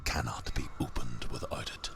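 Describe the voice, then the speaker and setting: An actor playing a grave, mystical storyteller of Norse myth in a video game, speaking in a solemn, portentous, instructing way. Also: male voice, very deep voice, steady tone, normal speed, creaky, whispered. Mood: grave, warning. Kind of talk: solemn mythic narration. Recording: heavy music and ambience behind. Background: loud game music and ambient noise.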